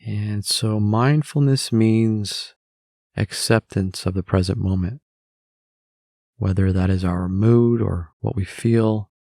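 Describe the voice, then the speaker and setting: A man narrating a guided meditation in a measured way, with long pauses between phrases. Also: fairly low pitch, creaky, warm tone, no room sound, clean studio sound.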